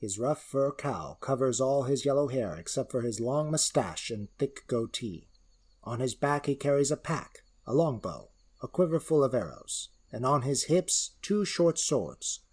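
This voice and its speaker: A man of middling pitch serves as storyteller for a fantasy adventure. He delivers scripted narration theatrically, shaping each phrase with deliberation. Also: sing-song, unhurried, darkish tone, slightly rough. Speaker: narrator and creator of the story world.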